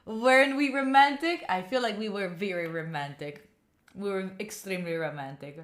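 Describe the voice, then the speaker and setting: A woman's voice: medium pitch, moderate pace, fairly bright tone. A young woman with an Italian accent chatting casually on a live stream, rambling and giggling with lots of fillers. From Italy. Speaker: study streamer.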